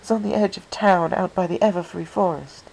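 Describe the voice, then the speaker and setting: A man's voice: high voice, fairly even intonation, fairly quick, vocal fry, a little mellow, slight background noise, pitched above the performer's own voice, rough recording. A man voicing a refined, kindly, concerned lady cartoon pony with a genteel, dramatic manner. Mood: insistent.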